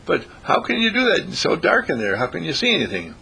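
An excitable storybook rabbit, acted out by a man, pitched up high with swooping intonation. He is cheeky, chummy and quick to doubt, exclaiming in a bright, forceful voice. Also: vocal fry, slightly rough, heavily acted, poor audio. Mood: incredulous, blunt.

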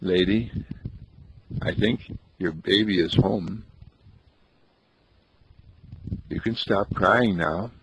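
A man voicing a gentle, brave boy in a wheelchair who talks in soft, soothing reassurance. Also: sits low, takes it slowly, noisy background. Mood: tender. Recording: dull lo-fi recording.

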